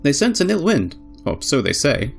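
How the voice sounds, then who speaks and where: A man's voice: a little high, huge pitch swings, normal speed, creaky, soft background music, full character voice. A man voicing a polite, pensive young island woman in a visual novel. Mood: earnest, pensive.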